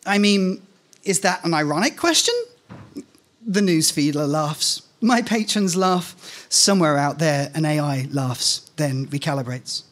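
High-pitched middle-aged man reading a story. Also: a little slow, a bit bright. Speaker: reader of a short story at a live event. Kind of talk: scripted first-person narration, read aloud live. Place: indoor stage at a live reading event. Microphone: microphone on a stand, close in front.

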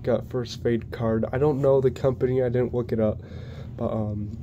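A man's voice: medium pitch, moderate pace, dull audio. A young man with a cold, chatting casually and hesitantly while unboxing model cars on camera at home. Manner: hesitant, many ums and restarts; flat, even delivery. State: congested from a cold. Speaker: diecast collector reviewing model cars. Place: indoors at home, plain wall. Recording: noisy home recording.

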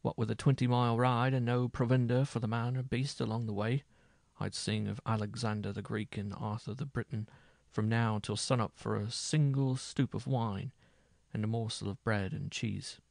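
Storybook minstrel, played by a man: bearded medieval braggart, boisterous, theatrical, gravel in the voice. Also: mid-range voice, little pitch movement, normal speed, darkish tone, dry recording, natural voice. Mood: grumbling.

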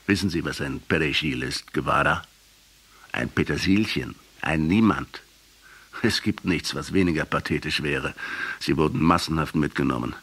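A man's voice: rumbling low, fairly bright tone, breathy edge, full character voice. A male actor in a radio drama playing a troubled, insistent intellectual who questions and challenges. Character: troubled Argentine intellectual interrogating a revolutionary, also arguing as Fidel. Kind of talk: radio drama acting and narration.

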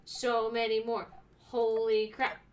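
A woman's voice: fairly high pitch, monotone, recorded from a distance, a little hiss or hum. A young woman streaming a video game, making blunt, sarcastic remarks as she plays. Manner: sarcastic, blunt, quick reactions. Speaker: gaming streamer. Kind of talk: casual let's-play commentary. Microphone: desk mic, webcam.

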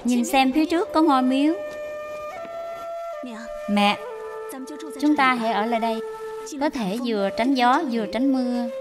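A woman playing a gentle, dutiful young daughter-in-law in a cartoon dub, patient, sorrowful and respectful. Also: on the high side, normal speed, long pauses, over music, lightly acted. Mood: gentle, caring. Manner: meek, pleading, respectful. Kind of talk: dubbed cartoon drama acting.

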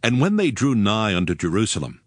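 A man narrating scripture from a script in a measured, formal manner. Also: fairly low pitch, very animated, no echo.

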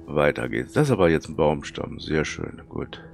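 A man with a cold and a hoarse, thick voice, casually commenting on his gameplay and muttering to himself as he plays. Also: very deep voice, sing-song, moderate pace, faint music behind. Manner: chatty, muttering to himself while playing. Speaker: let's play commentator.

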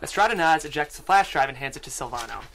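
A man's voice: a little high, animated, fast, a bit bright, slight background noise, low-quality recording. A man reading screenplay stage directions aloud as a narrator, close to a studio microphone. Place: small podcast studio. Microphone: studio microphone on desk.

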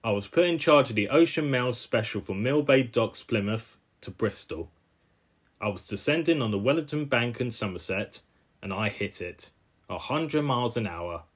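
A man voicing a proud, dignified veteran steam engine telling stories in a measured way. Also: medium pitch, expressive, breathy edge. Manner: measured storytelling.

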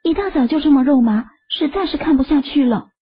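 A man voicing a joking, easily flustered young woman in a very high falsetto. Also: a little fast, lo-fi sound.

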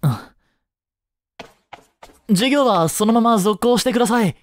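A man playing a caring, easygoing high school teacher in a voice drama, speaking conversationally with gentle concern. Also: a little slow, a little hiss or hum. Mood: worried, polite. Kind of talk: scripted Japanese voice drama acting.

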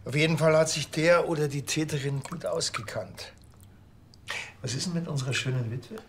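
Sardonic Bavarian small-town police officer, gravelly, grumbling, screen crime comedy. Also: male voice, fairly high pitch, normal speed, a bit bright, exaggerated voice. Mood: dry. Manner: dry, grumbling sarcasm.

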